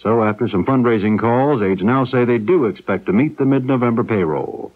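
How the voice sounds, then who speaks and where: An American man reads the news as a radio anchor. His pitch is middling, and the sound is a thin, lo-fi 1970s broadcast. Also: lively intonation, a little fast. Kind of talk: scripted news reading.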